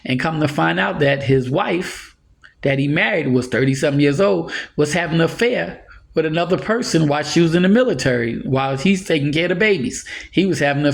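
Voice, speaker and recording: A man's voice: a little high, gravelly. A man in his sixties giving earnest, rambling life advice in a casual monologue, chuckling now and then. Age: 60s. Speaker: motivational speaker.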